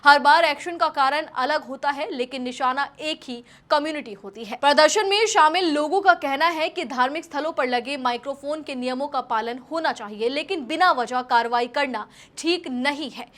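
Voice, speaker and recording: A woman's voice: high voice, moderate pace, few pauses, fairly bright tone. A woman news presenter delivering scripted commentary in an emphatic, rhetorical style.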